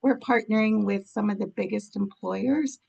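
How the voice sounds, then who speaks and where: Female program officer, informal explainer, cold-scratched voice with noticeable fry. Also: medium pitch, moderate pace, darkish tone, muffled recording. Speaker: nonprofit program officer presenting.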